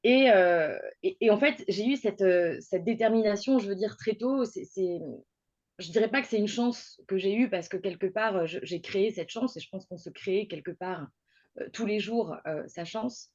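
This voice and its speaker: A woman's voice: mid-range voice, normal speed, a little mellow. A woman in her thirties from Toulouse in southern France, telling her own career story in a personal monologue with many hesitations, fillers and restarts. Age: 30s. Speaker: podcast guest speaker. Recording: dull lo-fi recording.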